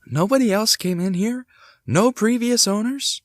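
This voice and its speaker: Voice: mid-range voice, unhurried, no room sound, studio quality, lightly acted. An actor playing a curious amateur sleuth in a hobby audio drama, speaking expressively. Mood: skeptical. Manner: expressive, swinging between musing and exclaiming. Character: curious amateur sleuth exploring an old house. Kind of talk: hobby audio drama acting, thinking aloud.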